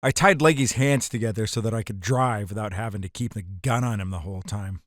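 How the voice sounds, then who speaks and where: A man's voice: lowish voice, a little fast, dry recording, clean studio sound, natural voice. A man playing a hardboiled ex-bootlegger who narrates his caper in an audio drama, terse and wry. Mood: wry, reflective.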